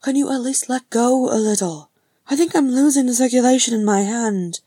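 A woman voicing a lively, teasing younger dwarf brother, chattering and exclamatory, in an audiobook-style story. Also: medium pitch, extremely slow, dry recording, studio quality. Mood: indignant.

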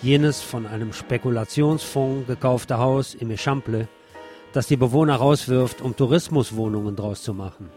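A man's voice: mid-range voice, normal speed, mellow. Sardonic male radio essayist over music. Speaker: radio host. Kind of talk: scripted radio essay, literary first-person narration.

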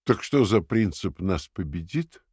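An actor playing a cruel, domineering party interrogator who lectures theatrically, swinging from hushed to emphatic, in an audio drama. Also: male voice, deep voice, sing-song, a little slow, husky, no room sound, studio quality, heavily acted. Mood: quietly contemptuous. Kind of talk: dramatic audio play dialogue.

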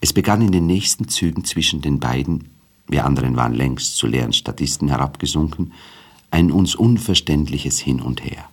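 A man narrating a literary radio drama in a measured, formal storytelling manner. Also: extremely low pitch, expressive, slightly rough.

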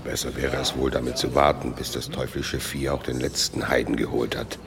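An actor playing a cynical, dour monk in a radio drama, talking in a dry, grim mutter. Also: male voice, rumbling low, level tone, normal speed, gravelly, crowd noise. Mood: grim contempt.

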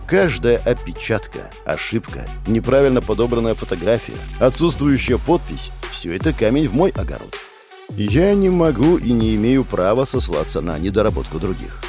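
Brief: a male audiobook narrator, low and expressive, with soft music in the background. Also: moderate pace. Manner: expressive, animated reading. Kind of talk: first-person audiobook narration.

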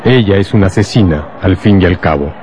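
A man's voice: deep voice, a little fast, darkish tone. A man narrating a literary radio drama from a script. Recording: faint music behind the voice.

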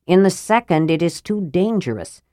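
A man's voice: high-pitched, sing-song, normal speed, mellow, dry recording, studio quality. A woman narrator playing a proud, earnest male knight in a fantasy audiobook, urgent and formal.

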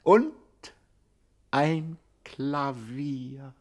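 An actor playing a charming, self-satisfied gangster in a jaunty 1960s radio comedy manner, savouring each phrase. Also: male voice, medium pitch, extremely slow, darkish tone. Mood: smug, breezy.

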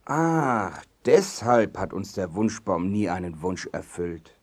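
A man playing an excitable, naive inhabitant of a fairy-tale land in a children's audio play. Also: sits low, takes it slowly, exaggerated voice. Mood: rueful.

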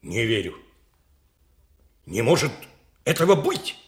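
A man's voice: mid-range voice, sing-song, slow pace. A man playing a loyal Russian general, fervent and respectful, in a radio drama. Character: loyal, earnest Russian general, devoted to his commander. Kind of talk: Soviet radio drama acting.

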